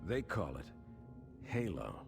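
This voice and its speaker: A middle-aged actor playing a seasoned starship captain who gives orders in a measured, commanding way. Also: male voice, deep voice, big pitch swings, creaky, music in the background, exaggerated voice. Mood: grave. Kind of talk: game cutscene voice acting.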